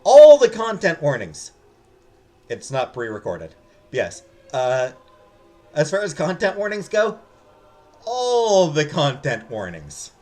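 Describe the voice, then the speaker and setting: Animated man live-streaming a story game. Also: a little high, slow, pauses often. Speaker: streamer reading a visual novel aloud. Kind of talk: casual live-stream chat mixed with read-aloud narration.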